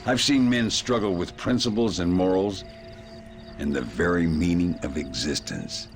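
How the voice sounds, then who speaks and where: An actor playing a weathered old gunslinger in a western video game, wry and world-weary, with a gravelly drawl. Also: male voice, deep voice, normal speed, soft background music. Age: older.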